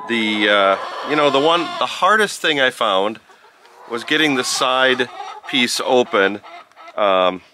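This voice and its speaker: A man with a lowish, forceful, bright voice talks through a product in a casual, halting way. He is recorded outdoors on a farm, with light ambient noise and clucking chickens behind him. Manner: halting, informal, step-by-step explaining. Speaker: product reviewer and vlogger. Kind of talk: casual unscripted product review to camera.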